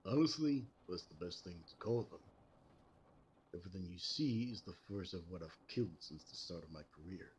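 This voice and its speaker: Man playing a deep-voiced, measured American detective. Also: expressive, darkish tone, muffled recording. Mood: grave, explanatory. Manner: measured, formal, expository. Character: ageless superhuman American detective, calm and grave. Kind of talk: scripted roleplay audio drama. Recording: audible background noise.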